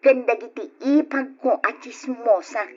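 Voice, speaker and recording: A woman's voice: a little high, measured pace, music in the background, close to an everyday voice. A woman acting a discontented, nagging young wife and mother in an Ilocano radio drama, with an Ilocano accent. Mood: weary complaining. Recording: dull, lo-fi old radio recording.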